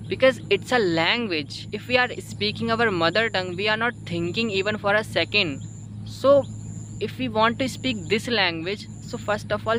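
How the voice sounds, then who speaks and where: A man with an Indian accent and Hindi as his first language speaks in a high-pitched voice. He gives informal learning advice, pausing and repeating phrases for emphasis. Clear outdoor background noise sits behind him. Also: sharp tone. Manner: halting, repetitive, emphatic, frequent "you know" and "okay" tags. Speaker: English-learning video host, learner. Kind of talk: informal spoken advice to viewers.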